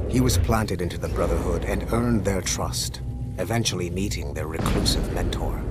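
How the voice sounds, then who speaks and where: An actor playing a proud, zealous Templar commander in a video game, speaking in a measured, formal and deliberate way. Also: male voice, sits low, heavy background noise. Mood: proud conviction. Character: stern, zealous male Templar field commander, calculating and proud. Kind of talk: scripted video game monologue and dialogue. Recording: loud music behind. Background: loud music.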